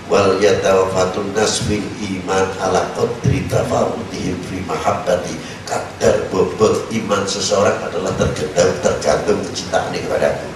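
A man's voice: sits low, far from the mic. A man with a Javanese accent preaching an Islamic sermon in an exhortative, emphatic way, often posing rhetorical questions. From Indonesia. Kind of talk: sermon mixing Indonesian with regional speech and Arabic quotations. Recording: echoey, noisy hall recording from afar.

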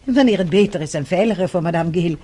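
A woman's voice: lowish voice, fast, dark tone, slightly rough. An actress playing a polite, self-possessed young Frenchwoman in a radio play, formal and assertive. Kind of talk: radio play acting in Dutch.

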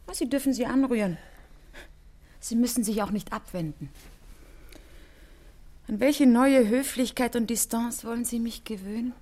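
Actress as a high-voiced, vulnerable young lover. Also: female voice, normal speed, long pauses, mellow, lightly acted. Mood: hurt, proud. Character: young woman in love, vulnerable, hurt, proud. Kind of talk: classic German radio play acting.

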